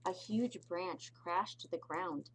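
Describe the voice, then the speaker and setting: A woman teacher reads a picture book aloud for young children, expressively and deliberately, adding little explanatory asides. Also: fairly low pitch, breathy edge, low-quality recording. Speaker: pre-K teacher reading aloud.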